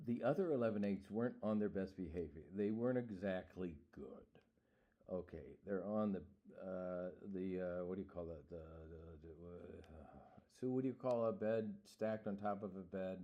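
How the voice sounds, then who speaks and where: Low-voiced man, playfully reading a picture book. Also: moderate pace, muffled recording. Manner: rambling, playful, goofy. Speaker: reader of a children's picture book. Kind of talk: informal read-aloud with ad-libbed asides.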